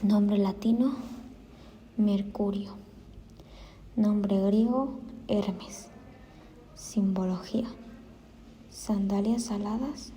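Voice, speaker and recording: A woman's voice: medium pitch, steady tone, very slow, pauses often, dark tone, slight background noise, low-quality recording. A woman dictating study notes aloud, often in a whisper, repeating items and spelling words out as she goes.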